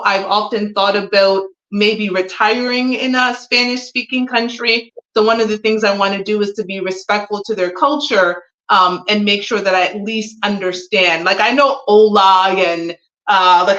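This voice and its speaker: A woman's voice: mid-range voice, a bit bright. A woman from Jamaica in casual, chatty conversation, telling about herself and asking follow-up questions. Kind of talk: casual getting-to-know-you chat. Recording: dull, echoey room sound.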